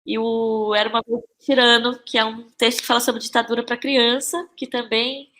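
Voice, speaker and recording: A woman's voice: a little high, little pitch movement, moderate pace, bright, forceful tone. A Brazilian woman speaking as a podcast guest, casual and reflective, often repeating phrases as she finds her words. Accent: Brazilian Portuguese. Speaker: interview guest, playwright and actress.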